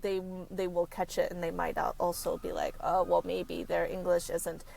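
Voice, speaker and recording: Woman consultant chatting in a podcast interview, lowish voice, lots of stops and restarts. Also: monotone, creaky, a little mellow, slight background noise. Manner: halting, frequent self-corrections. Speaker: career consultant.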